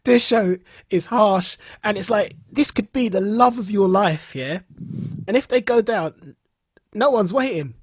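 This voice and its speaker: A man co-hosting a podcast, recounting a show in casual, animated chat and repeating phrases for emphasis. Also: sits high, a little slow, slightly rough, no room sound, clean studio sound. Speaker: podcast co-host.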